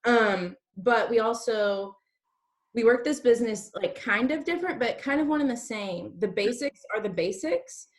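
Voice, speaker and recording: A woman's voice: a little high, expressive, moderate pace. Chatty woman in her early thirties. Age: early 30s. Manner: chatty, peppered with "like". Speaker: direct-sales team leader co-hosting a training. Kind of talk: informal motivational talk to an online group.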